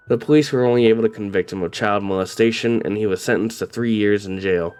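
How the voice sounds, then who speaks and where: A man with a low voice narrates a true crime story in a somber, steady way. His voice is slightly raspy from a cold that is just starting. Soft music plays faintly underneath. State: coming down with a cold. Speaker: host.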